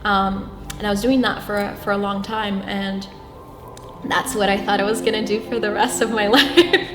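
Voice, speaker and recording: A young woman from Brazil, whose first language is Portuguese, talks through her path in an interview, earnest and halting with many restarts. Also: medium pitch, flat delivery, echoey room, big-room sound, background noise. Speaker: guest. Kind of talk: reflective interview talk.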